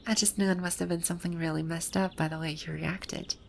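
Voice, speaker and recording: A woman playing a proud, teasing video game villainess come to life, speaking softly in an intimate ASMR roleplay. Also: on the low side, level tone, brisk, a little hiss or hum. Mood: teasing, smug. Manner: smug, teasing, soft-spoken with breathy asides. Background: birdsong and outdoor ambience sound effects.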